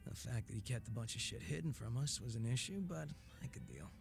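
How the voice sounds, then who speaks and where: Gravelly, sardonic ex-gang boss. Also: male voice, medium pitch, very animated, fairly quick. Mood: matter-of-fact. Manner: teasing, casual, profane. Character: sardonic, laid-back former male gang boss. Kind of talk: English video game cutscene acting. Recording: music under the dialogue.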